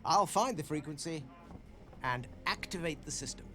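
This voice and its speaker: A witty intelligence officer in a war video game. He sounds smug and precise, with very expressive intonation and a slightly high pitch. Faint music sits behind him. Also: normal speed. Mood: dry, explanatory. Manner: wry, precise, self-satisfied. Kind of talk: video game cutscene dialogue.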